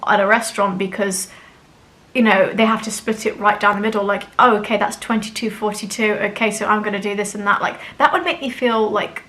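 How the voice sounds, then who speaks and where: A woman vlogger talking straight to her viewers in a loose, chatty way. Her speech keeps filling with "like" and "you know" as she thinks aloud. Her pitch sits in an ordinary middle range. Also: fairly bright tone. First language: English. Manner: chatty, frank, with many "like" and "you know" fillers. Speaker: spiritual channel host. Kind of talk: casual talk to camera.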